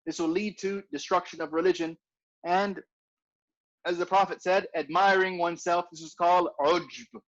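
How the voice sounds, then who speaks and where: A man's voice: high-pitched, fairly even intonation, moderate pace. A man teaching an informal religious class in a conversational way, repeating his points and often asking "right?". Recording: dull lo-fi recording.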